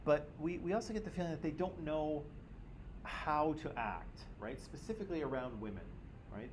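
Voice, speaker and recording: A man teaching a class, joking with students and asking questions, often tagging "right?" onto his points. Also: medium pitch. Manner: jokey, self-teasing, checks in with "right?" constantly. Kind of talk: classroom discussion. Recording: noisy classroom audio.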